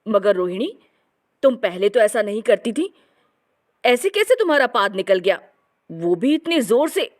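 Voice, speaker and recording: A woman's voice: mid-range voice, big pitch swings, a little slow, long pauses. A woman voicing a disgusted, fussy young husband who complains dramatically in a family comedy story. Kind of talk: acted dialogue in a Hindi family comedy story.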